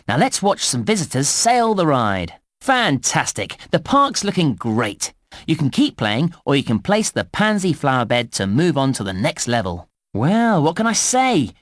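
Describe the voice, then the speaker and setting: A man playing a cheerful, encouraging theme park guide in a game, giving scripted instructions in a clear presenter's delivery. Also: a little high, dry recording, studio quality, heavily acted. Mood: delighted, encouraging. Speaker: game narrator and tutorial guide.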